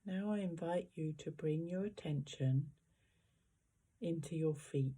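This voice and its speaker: Meditation guide: very dark, relaxed timbre, slow pace, gentle instruction with roomy pauses between phrases. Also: medium pitch, husky.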